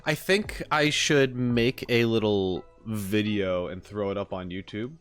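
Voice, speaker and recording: A man's voice: lowish voice, unhurried, a bit bright, clean tone. A man live streaming, talking casually and thinking aloud in an easygoing, rambling way. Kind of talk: casual livestream chat. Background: background music.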